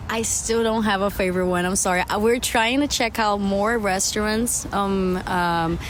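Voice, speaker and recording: A woman's voice: medium pitch, normal speed. A young woman from Brazil, chatting in a street interview and rambling through many fillers and restarts. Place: outdoors on a city street. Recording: street traffic noise behind.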